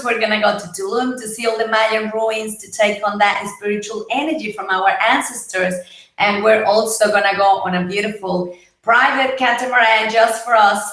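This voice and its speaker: Chatty woman from Mexico, husky voice, fairly high, echoey room; live-stream host. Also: a bit bright. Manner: chatty, greets viewers by name. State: husky, voice partly lost. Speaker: livestream host. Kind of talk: casual live broadcast chat. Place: home, Gold Coast.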